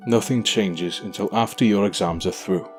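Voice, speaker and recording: A man playing a deep-voiced, weary old king. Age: older. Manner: formal, measured, sighing. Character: weary, gentle old king and devoted father. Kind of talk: audio drama acting.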